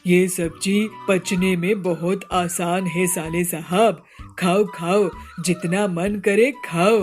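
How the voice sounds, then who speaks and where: A woman's voice: on the low side, steady tone, takes it slowly, faint music behind. A woman voicing a stingy, scheming male cartoon bird who talks in a bossy, self-satisfied, wheedling way. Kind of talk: cartoon voice acting.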